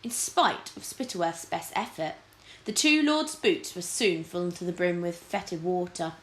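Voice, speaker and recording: A woman's voice: lowish voice, normal speed. A woman narrating a children's storybook expressively, like a teacher reading aloud. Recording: echoey room.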